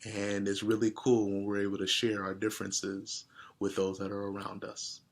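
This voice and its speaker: A man reading a storybook aloud and speaking directly to his listeners in a deliberate way. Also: lowish voice, completely flat delivery, gravelly. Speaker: read-aloud host. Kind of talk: storybook read-aloud with casual asides to listeners.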